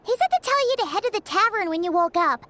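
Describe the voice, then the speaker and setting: A woman voicing a tiny, chirpy female fairy companion who fusses and chatters excitably in video game dialogue. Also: very high voice, quick pace, exaggerated voice. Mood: caring, chatty. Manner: bubbly, fussing, excitable.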